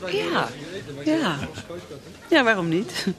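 A woman's voice: fairly high pitch, big pitch swings, slow pace, crowd noise. A woman acting in a radio play as a weary, disillusioned middle-aged wife with a sardonic manner. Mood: brightening.

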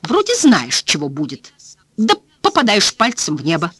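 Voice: mid-range voice, huge pitch swings, normal speed, fairly bright tone, breathy edge, no room sound, full character voice. A reader voicing a blunt, foul-mouthed woman cleaner who exclaims and rants in plain folksy speech. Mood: ranting.

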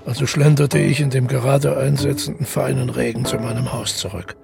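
A man playing a detached killer who calmly recounts his deed in a measured, reflective radio drama monologue, with a gravelly voice. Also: mid-range voice. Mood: resigned. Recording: music playing under the voice.

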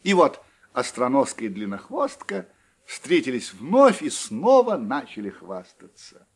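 Male storybook narrator, husky and lively. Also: high voice. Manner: expressive, animated storytelling.

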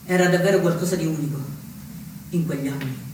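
In a very echoey space, heard from a distance, a man plays a solemn young anarchist looking back on painful years. The delivery is an emphatic stage monologue, built on deliberate repetition, over faint noise. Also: fairly high pitch, close to an everyday voice. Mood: solemn, grave.

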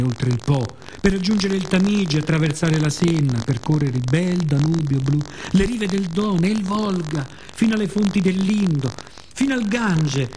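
A man performing a poetic theatrical radio monologue in Italian, with deliberate, dramatic phrasing, pauses and repetitions. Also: a little high, dark tone, slight background noise, rough recording. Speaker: actor performing own monologue.

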